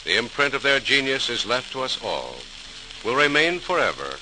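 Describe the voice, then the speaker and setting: Male period radio narrator: mid-pitched, very varied melody, grand scripted delivery, hissy old transcription. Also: very animated, normal speed. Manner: solemn, dramatic, formal. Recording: noisy, crackly 1940s radio transcription.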